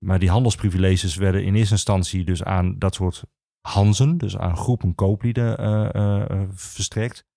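Low-voiced Dutchman explaining history, haltingly. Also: fairly even intonation, vocal fry, dark tone, dry recording, clean studio sound. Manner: hesitant, halting, with many pauses and repetitions. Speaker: archivist, podcast guest. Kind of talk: informal expert explanation of history.

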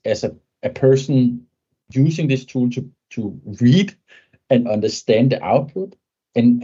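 A man's voice: medium pitch, dark tone, slightly rough, dull audio. A man, a podcast guest speaking thoughtfully and often ending points with a tag question. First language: Danish. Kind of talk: conversational tech interview.

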